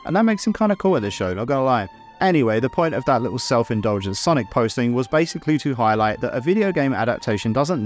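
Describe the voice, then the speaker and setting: A man narrating a scripted video essay in a wry, opinionated way, with casual asides. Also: medium pitch. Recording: music under the voice. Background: background music.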